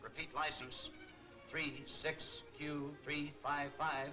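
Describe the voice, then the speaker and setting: An actor playing an official police radio dispatcher in a 1940s film serial, announcing deliberately. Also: male voice, a little high, little pitch movement, poor audio. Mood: official, urgent. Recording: vintage 1940s film soundtrack, music behind.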